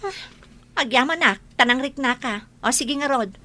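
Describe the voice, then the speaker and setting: A haughty young woman with an Ilocano accent and a high, piercing voice. She scolds with open irritation in radio drama style. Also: normal speed. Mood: annoyed. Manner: sharp, scolding delivery.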